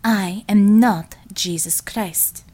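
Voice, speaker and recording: A woman's voice: mid-range voice, slow pace, warm tone. Woman playing an elegant, stern woman doctor. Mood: restrained anger. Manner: precise, scolding, restrained.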